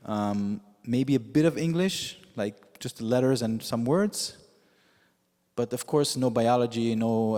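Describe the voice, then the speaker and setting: A man whose first language is Yiddish, preaching as a rabbi in a measured storytelling way with frequent pauses. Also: medium pitch, a little mellow. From United States. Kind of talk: sermon. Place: synagogue sanctuary with long reverb. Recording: reverberant hall.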